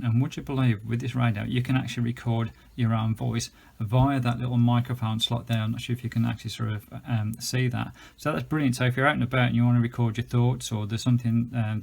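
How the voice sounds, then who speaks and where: A man's voice: medium pitch, fairly even intonation, fast, vocal fry, a little mellow, husky. A British man giving a chatty, enthusiastic, unscripted gadget review, explaining things as he goes. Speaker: product reviewer.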